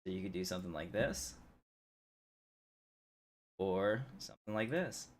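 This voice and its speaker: A man's voice: sits low, animated, unhurried. A man hosting a game livestream, chatting in a casual, rambling, easygoing way as he comments on play. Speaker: game streamer, host.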